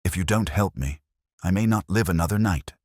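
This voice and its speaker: A man's voice: low-pitched, fairly quick, a little mellow, no echo, studio quality, voice pushed up. A man voicing an anxious, desperate widow who speaks earnestly and formally, in an audiobook mystery. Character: anxious, desperate widow seeking a detective's help.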